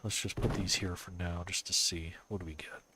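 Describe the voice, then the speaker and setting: A man's voice: deep voice, steady tone, brisk, heavy background noise. A man with a cold, stuffy and sniffly, casually thinking aloud as he builds in a game on a live stream. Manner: thinks aloud, muttering to himself and chuckling.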